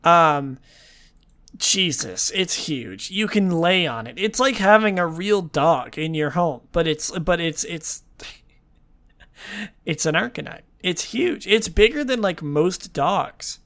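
A young man hosting a casual chat show, talking in a wry, rambling way full of fillers, his voice slightly hoarse. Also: a little high, lively intonation, takes it slowly, fairly bright tone. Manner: chatty, wry, lots of fillers and restarts. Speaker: podcast host.

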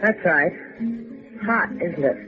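A woman's voice: lowish voice, animated, slow pace. An actress playing a cool, tough, sardonic female sidekick in a 1950s radio adventure. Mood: cool, dry refusal. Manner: dry, sardonic. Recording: tinny band-limited 1950s radio recording with music.

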